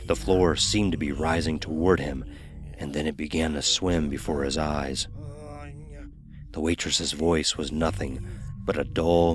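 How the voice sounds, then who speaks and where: A man narrating a scripted horror story in a measured, dramatic, ominous manner. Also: very deep voice.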